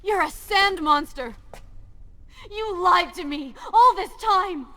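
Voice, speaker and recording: A woman's voice: very high voice, expressive, slow pace, pushed and bright, in a large hall, a little hiss or hum, exaggerated voice. A woman playing a proud young warrior in a video game, dramatic, stern and accusing. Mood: horrified.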